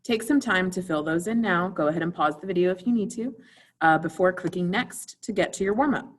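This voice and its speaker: A woman's voice: fairly low pitch, fairly quick. A woman theater teacher giving an informal recorded video lesson, instructing students directly and putting questions to them.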